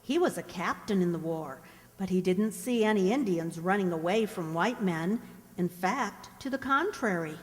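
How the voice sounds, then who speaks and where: A woman's voice: on the low side, lively intonation, normal speed, lightly acted. A woman playing a bookish, principled young frontier woman, an earnest and instructive elder sister in a radio play. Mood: firm, earnest. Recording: reverberant hall-like room.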